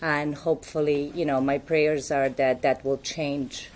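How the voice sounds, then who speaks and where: Serbian-accented woman, very low voice, candid recovery share, slight background hiss. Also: level tone, unhurried, darkish tone. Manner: candid, reflective, with frequent fillers. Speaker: guest speaker. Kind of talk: personal recovery talk.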